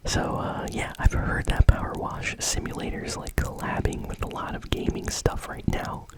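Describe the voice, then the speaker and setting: A host whispering gaming news in a casual, conversational ASMR style, with a breathy, unvoiced voice. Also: normal speed.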